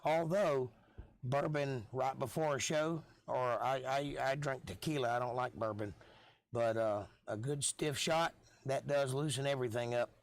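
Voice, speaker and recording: A middle-aged man, hoarse from losing his voice, chatting casually with viewers as host of a live stream. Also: medium pitch, unhurried. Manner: laid-back, chatty, folksy.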